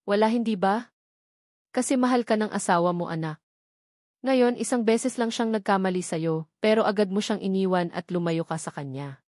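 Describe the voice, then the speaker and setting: A woman's voice: mid-range voice, normal speed, a little mellow, no room sound, studio quality, close to an everyday voice. A woman voicing a caring, earnest mother who counsels her grown daughter in a novel reading. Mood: gently admonishing.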